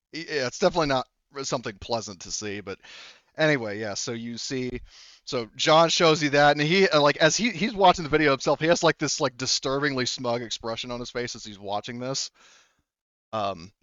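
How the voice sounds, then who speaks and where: A male game master narrates casually, with loose, sweary phrasing and a halting flow. His medium-pitched voice is smooth and clear in tone. The recording is dry but somewhat dull and lo-fi. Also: a bit bright, no room sound. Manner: informal, profane, halting delivery.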